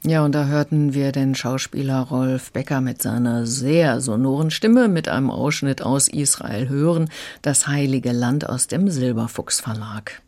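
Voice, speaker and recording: Calm, explanatory radio book critic. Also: mid-range voice, a little slow. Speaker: audiobook critic. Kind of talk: radio review chat.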